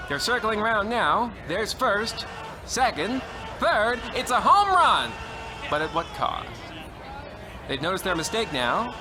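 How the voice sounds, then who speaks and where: A man playing an excitable, melodramatic baseball radio announcer with a theatrical, exclamatory delivery in an old-time radio comedy. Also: high voice. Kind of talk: old-time radio sports commentary parody.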